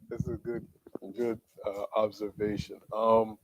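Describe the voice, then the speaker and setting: A man moderating a Q&A after a student talk, asking questions in a relaxed, conversational way. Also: fairly low pitch, extremely slow, slight background noise, poor audio. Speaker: program facilitator.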